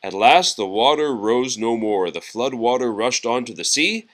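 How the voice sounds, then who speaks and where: A man reading a children's storybook aloud expressively, pausing to chat and answer questions from young listeners. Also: mid-range voice, expressive, a crowd in the background.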